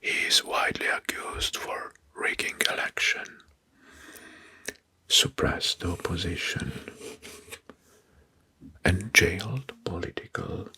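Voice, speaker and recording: Man whispering slow, breathy ASMR narration. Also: low voice, animated. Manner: deliberate, repeats names and phrases softly. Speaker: host of an ASMR history channel.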